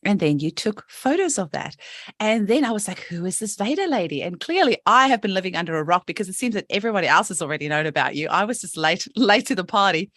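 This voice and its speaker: A woman's voice: mid-range voice, expressive, fast talker, a bit bright, dry recording. Bubbly New Zealand woman hosting a podcast. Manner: bubbly, laughs often, rambling questions. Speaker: podcast host. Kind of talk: casual interview chat.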